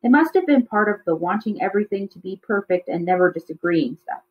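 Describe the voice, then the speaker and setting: Woman reading a kids chapter book out loud, normal pitch, bit dull recording. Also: expressive, a little fast. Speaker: music teacher reading a children's novel aloud. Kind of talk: read-aloud storybook narration.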